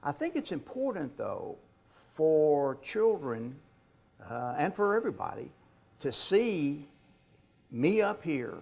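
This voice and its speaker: An older man in his sixties or beyond who stutters, giving an informal talk as an author in a halting way with repeated words. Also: a little high, sing-song, vocal fry, darkish tone. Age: 60s or older.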